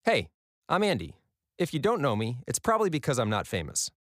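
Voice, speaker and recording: A man's voice: mid-range voice, huge pitch swings, fairly quick, fairly bright tone, no room sound. An American man reading a conversational scripted advertisement as a company founder, close to the mic.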